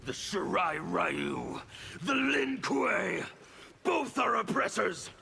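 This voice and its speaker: A man voicing a fanatical, defiant revolutionary warrior in a video game, with a gravelly, menacing, declamatory delivery. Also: high-pitched, sing-song, takes it slowly, pushed and bright, slight background noise, exaggerated voice. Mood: fervent, defiant. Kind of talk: video game character dialogue.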